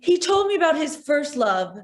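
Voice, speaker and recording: Woman as an animated, assured society lady. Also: sits high, moderate pace. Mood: earnest. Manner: assured, theatrical. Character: composed, scheming romantic society lady. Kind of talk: stage acting in an online one-act play.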